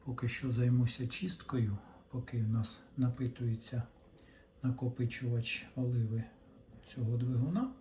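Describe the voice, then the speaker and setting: A man's voice: mid-range voice, steady tone, moderate pace, warm tone. A man giving casual hands-on repair commentary, thinking aloud hesitantly as he works. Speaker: repair hobbyist presenting his own restoration. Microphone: handheld camera mic. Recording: dull sound with faint background noise.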